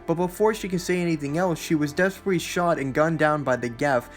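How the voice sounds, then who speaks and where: A man's voice: a little high, quick pace, soft background music. A man hosting a video essay, reading scripted countdown narration in wordy, awkward phrasing.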